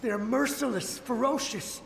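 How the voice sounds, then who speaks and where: A man's voice: very high voice, unhurried, creaky, a bit bright, slight background noise, full character voice. A man playing the desperate ghost of a loyal samurai soldier in a video game, dramatic, strained and pleading. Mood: urgent, terrified. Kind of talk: video game character acting. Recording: echoey reverb effect, game music underneath.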